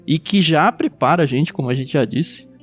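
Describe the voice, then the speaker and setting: Brazilian male preacher-host, mid-pitched, candid and teasing, faint guitar under him. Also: lively intonation, normal speed. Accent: Brazilian Portuguese. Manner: candid, easygoing, light teasing. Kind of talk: casual Bible commentary chat.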